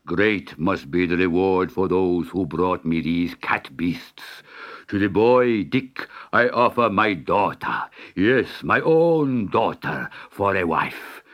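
A man voicing a grand storybook Sultan with a pompous, drawn-out, declamatory delivery. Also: low voice, animated, fairly bright tone, pitched below the performer's own voice, full character voice. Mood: delighted, grand. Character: grand, exuberant male Sultan of a storybook palace. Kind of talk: storybook character voice.

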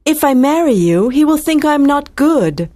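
Actress as a high-voiced, timid lovesick young heiress. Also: female voice, big pitch swings, moderate pace, mellow. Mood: earnest, devoted. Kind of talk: dramatized audiobook dialogue.

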